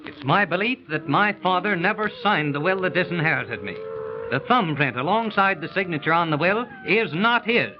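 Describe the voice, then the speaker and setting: An insistent, scornful young heir, high for a male voice and swinging widely in pitch. He declaims in 1930s radio style on a muffled old recording. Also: lively intonation, moderate pace, noisy background. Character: impetuous, scornful disinherited son demanding vindication. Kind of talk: 1930s radio drama acting.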